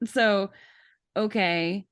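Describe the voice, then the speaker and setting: A woman's voice: medium pitch, extremely slow, a bit bright, smooth. A woman co-hosting a podcast, chatting casually in an articulate, emphatic way. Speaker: podcast co-host. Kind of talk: casual chat.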